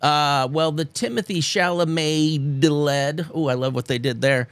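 A man's voice: a little high, measured pace, fairly bright tone, clear, resonant tone, no echo. A young adult man co-hosting a casual entertainment chat show, joking and tripping over his words as he reads the news. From Nebraska. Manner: joking, stumbling restarts, playful. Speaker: co-host.

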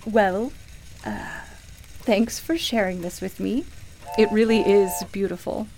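An actress playing a grieving, skeptical woman in a radio play, emotional and halting, easily shaken as she speaks. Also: medium pitch, dark tone, slight background noise, rough recording. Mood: puzzled, skeptical. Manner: halting, stammering, dramatic. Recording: music behind the voice.

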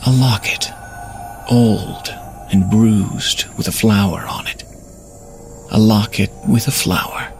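A man's voice: fairly low pitch, slow, gravelly, long pauses, faint music behind. A man playing a troubled husband, narrating a horror story in a brooding, dramatic manner. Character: troubled husband and investigator telling his downfall.

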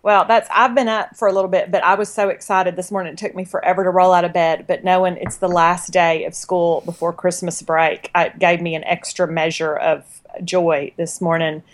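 Chatty relaxed woman in her 40s, podcast cohost, easygoing storytelling. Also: lowish voice, moderate pace. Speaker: podcast co-host. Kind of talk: casual chat.

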